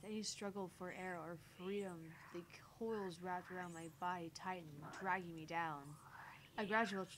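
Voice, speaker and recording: A woman streamer reading a visual novel aloud as theatrical first-person narration, with deliberate phrasing. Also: fairly low pitch. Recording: loud background noise, electrical hum, clicks.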